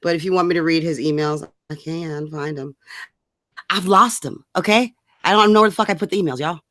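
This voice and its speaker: Bright, blunt, sassy woman co-host. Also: lowish voice, fairly quick. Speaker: show co-host. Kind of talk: casual gossip chat, profane and joking.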